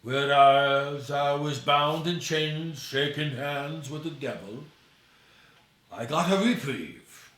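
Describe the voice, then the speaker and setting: A man's voice: on the high side, slow, fairly bright tone, echoey room, distant mic. A man voicing a redeemed, hopeful ghost in a storybook reading, speaking in grand, solemn, old-fashioned phrases. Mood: solemn, grateful.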